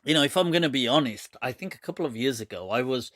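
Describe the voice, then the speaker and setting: A man's voice: medium pitch, animated, fast, fairly bright tone, dry recording, clean studio sound. A man in his forties hosting a live question and answer show, chatting casually and laughing often. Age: 40s. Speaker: host answering viewer questions.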